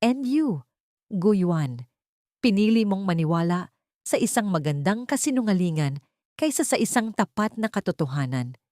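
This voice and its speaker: A woman's voice: medium pitch, big pitch swings, normal speed, a little mellow, dry recording, clean studio sound, natural voice. A woman voicing a wealthy betrayed wife in an audio drama, icy, scornful and vengeful. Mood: bitter, scornful. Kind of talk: dramatic audio story dialogue.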